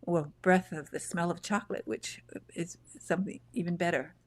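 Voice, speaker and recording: A woman host with a slightly low voice on a close, dry mic. She chats effusively and drifts into fond memories as she asks questions. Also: fairly even intonation, normal speed, no echo. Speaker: show host. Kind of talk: casual interview chat. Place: home in Texas. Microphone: video call.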